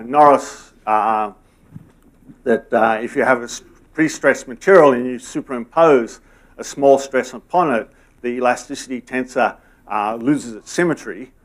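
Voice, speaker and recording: A middle-aged man answering audience questions after a lecture in a conference room, halting, with many fillers and restarts. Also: mid-range voice.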